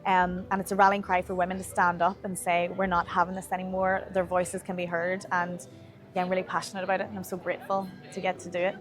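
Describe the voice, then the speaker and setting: A young woman with an Irish accent, speaking as a playwright and performer in a promotional interview. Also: fairly low pitch. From Ireland. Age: young adult.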